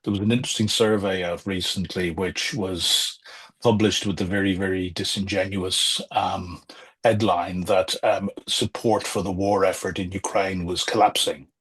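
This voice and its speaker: A middle-aged man, a former diplomat, talking as a guest over a video call, measured and hesitant with many fillers and restarts. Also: sits low, fairly even intonation, fairly bright tone, breathy edge. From Ireland or Britain. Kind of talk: remote interview, political commentary.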